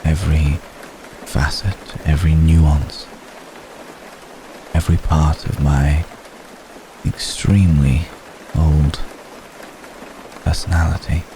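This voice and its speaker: A man playing an ancient, gentle, courtly vampire in an intimate ASMR roleplay, speaking tenderly in measured, formal phrases with hesitant pauses. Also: very deep voice, noisy background, full character voice. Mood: earnest, confiding. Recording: close recording with steady rain ambience.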